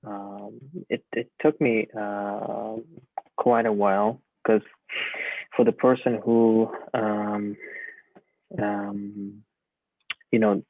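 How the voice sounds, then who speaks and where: Gravelly-voiced man on a tinny line. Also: on the low side, little pitch movement, drawn-out words, pauses often, dry recording. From Uzbekistan. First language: Russian. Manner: hesitant, many fillers. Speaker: guest physician. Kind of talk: casual interview answers. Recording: tinny phone-like call line, dry.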